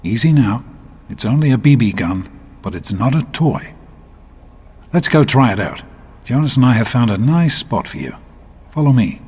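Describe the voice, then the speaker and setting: An actor playing a gentle, steady middle-aged father in a video game, speaking in a calm, measured, protective way. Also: medium pitch, slightly rough, big-room sound. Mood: warm, encouraging.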